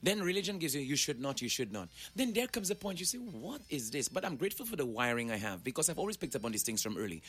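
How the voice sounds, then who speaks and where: A man's voice: medium pitch, very animated, fast talker, few pauses, fairly bright tone. A man, giving an emphatic, preachy motivational talk as a guest and speaking straight to the listeners. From Saint Lucia. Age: 40s.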